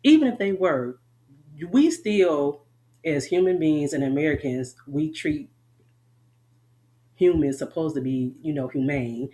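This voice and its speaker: A woman's voice: low voice, lively intonation, measured pace, pauses often, dark tone. A middle-aged woman with a Southern US accent, an army veteran talking candidly and at length in an interview, often laughing. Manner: rambling, frank, laughs often.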